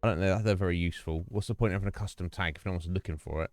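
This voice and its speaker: A man's voice: sits low, fairly even intonation, racing, no room sound, faint music behind. A man hosting a livestream, chatting casually and rambling, restarting his sentences as he goes. He talks into a desk microphone with a noise gate. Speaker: livestream host. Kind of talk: casual livestream chat to viewers.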